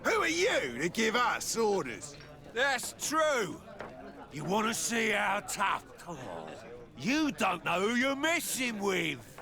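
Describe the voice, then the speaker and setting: An actor voicing a belligerent drunken brawler in a video game, drawling threats. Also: male voice, high voice, huge pitch swings, slow pace, a crowd in the background, exaggerated voice. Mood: threatening.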